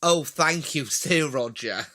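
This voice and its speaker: Man playing a high, bright gushing chat-show host. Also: lively intonation, takes it slowly, full character voice. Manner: effusive showbiz delivery with teasing asides. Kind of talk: audio drama acting as talk-show host.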